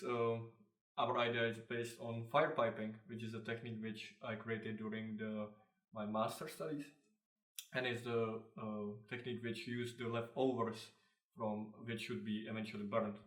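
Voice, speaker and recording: A young man with a Czech accent, a designer answering interview questions casually, halting often with fillers and restarts. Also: mid-range voice. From Czech Republic. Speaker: designer, co-founder of a clothing brand. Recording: echoey room, mic at a distance.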